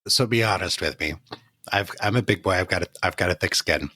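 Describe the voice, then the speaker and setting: A man's voice: deep voice, fairly quick, bright, forceful tone, dry recording. A man hosting a travel podcast, candid and playful in a casual interview, often acting out lines he quotes. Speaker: podcast host.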